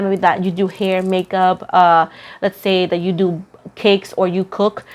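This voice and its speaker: Chatty woman reading tarot. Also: medium pitch. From Puerto Rico. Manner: rambling, self-deprecating, repeats phrases for emphasis. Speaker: tarot reader, host.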